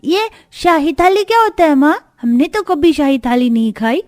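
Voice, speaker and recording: A woman's voice: high voice, big pitch swings, normal speed, a little mellow, voice pushed up. A woman voicing a whiny, wistful, insistent little child in a cartoon story. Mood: curious. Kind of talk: Hindi cartoon story acting.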